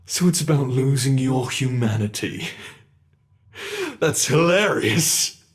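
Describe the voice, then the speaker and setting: Casting: cruel monster, played by a man, unhurried, mocking delivery, medium voice, for an ASMR horror roleplay. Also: mid-range voice, lively intonation. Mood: gloating. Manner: slow, taunting, relishing cruelty. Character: sadistic male monster that reshapes its captive.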